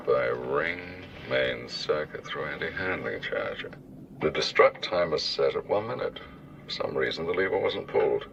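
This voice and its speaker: A muffled, distant film recording of a grave man with an extremely deep, gravelly voice. He is clipped and concentrated, working methodically through technical steps. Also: fairly bright tone, full character voice. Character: grave, methodical man defusing an explosive device. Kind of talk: film dialogue, terse technical procedure. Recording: distant, muffled film clip with faint noise.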